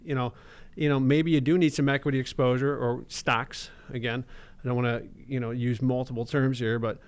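A man's voice: mid-range voice, little pitch movement, moderate pace. A man in his thirties, a financial advisor hosting a radio talk show from a small studio, talking emphatically with jokes. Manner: emphatic and joking. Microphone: studio boom mic with headphones.

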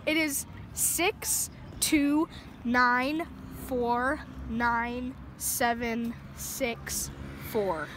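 High-voiced woman baiting a scammer on a noisy call, slow and feigning confusion. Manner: playing dumb, then mocking. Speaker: prank caller baiting a scammer. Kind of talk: prank phone call.

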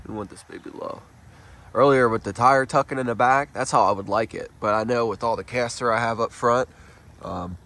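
A young man with a stuffed-up nose, filming his car outdoors on a phone and thinking aloud in a relaxed, rambling way. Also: fairly low pitch, vocal fry, a little hiss or hum. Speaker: car vlogger. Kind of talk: casual hands-on car vlog. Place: outdoors on a residential street and at a gas station. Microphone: handheld phone camera.

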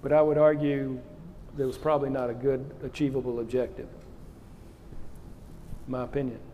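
A man's voice: mid-range voice, level tone, moderate pace, mellow, a little hiss or hum. An older man, a retired naval officer on a panel, giving blunt, plain-spoken opinions on stage. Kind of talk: candid panel answer. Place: large auditorium stage. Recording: long hall reverb.

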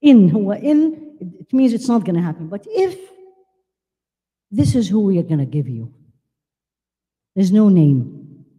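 An older woman born in Iraq, giving an animated religious lecture at a women's conference, holding a handheld mic and asking the audience questions. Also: medium pitch, measured pace, long pauses, husky. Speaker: Islamic lecturer. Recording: long hall reverb.

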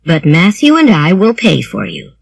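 A woman's voice: on the low side, wildly swooping pitch, moderate pace, gravelly, breathy edge, exaggerated voice. Woman reading as a stern older guardian. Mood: firm.

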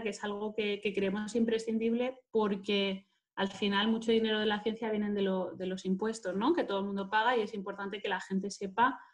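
Female interviewee, thirties, Spain Spanish accent, medium pitch, conversational delivery over a video call. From Madrid, Spain. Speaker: interviewee, market manager and scientist. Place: indoors, plain-walled room, video call. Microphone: earphone headset on video call.

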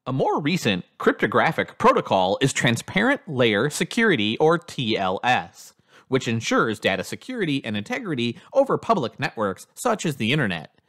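A man's voice: mid-range voice, a bit bright. A man reading a scripted instructional narration in an explanatory manner as a lesson narrator.

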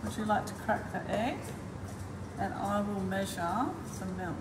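A woman's voice: medium pitch, fairly even intonation, measured pace, heavy background noise. An older woman teaching a cooking demonstration in a school kitchen, cheerfully explaining each step. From Australia. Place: large school kitchen, indoors. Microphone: camera at a distance. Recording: echoey kitchen from far off.

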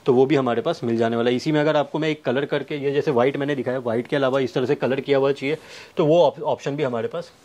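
A man in his thirties giving a sales pitch to camera, listing things with repetitive emphasis. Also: fairly even intonation, quick pace, a little mellow. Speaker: fabric manufacturer's representative presenting stock. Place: fabric showroom indoors. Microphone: clip-on wireless lapel mic.

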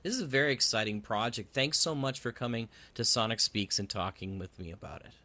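A man with a medium-pitched voice hosts a podcast interview. He sounds courteous and appreciative, keeping the talk casual and conversational. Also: fairly quick, bright, forceful tone.

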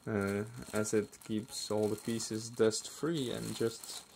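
A man's voice: lowish voice, little pitch movement, takes it slowly, creaky, darkish tone. A man giving casual, hesitant unboxing commentary as a hobby builder, with offhand trailing asides. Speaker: hobby builder and video host. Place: indoors at a wooden table. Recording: faint noise, crinkling packaging. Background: clicks and crinkling plastic bags.

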